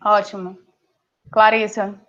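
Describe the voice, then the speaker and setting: A woman teaching a science class online, giving firm, brisk directions with affectionate nicknames over a video call. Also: mid-range voice, little pitch movement, a bit bright. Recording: dull lo-fi call audio.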